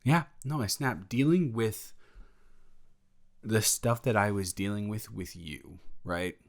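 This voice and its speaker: A man playing a sheepish, protective best friend in an improvised audio roleplay, talking in a halting, rambling, awkwardly candid way. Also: on the low side. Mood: tense, indignant. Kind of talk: improvised audio roleplay, intimate conversational monologue.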